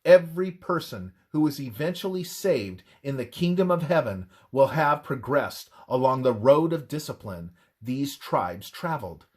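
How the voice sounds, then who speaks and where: A man narrates a devotional text with solemn emphasis. His pace is slow and deliberate, and his voice has a husky grain. The pitch moves widely as he stresses each measured phrase. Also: animated, a little mellow. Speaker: narrator reading a religious book.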